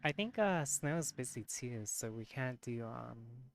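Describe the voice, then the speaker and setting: A live streamer with a tired, hoarse voice, chatting casually with viewers, giggling often and correcting themself mid-sentence. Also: medium pitch, animated, slow, a little hiss or hum. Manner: giggly, laughs often between remarks; rambling, self-correcting. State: voice worn and hoarse from long streaming.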